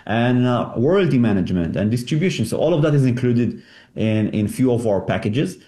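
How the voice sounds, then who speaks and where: A man's voice: medium pitch, warm tone, roomy sound. A man with an Israeli accent, a company head answering interview questions earnestly and often repeating words as he restarts. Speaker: company CEO guest.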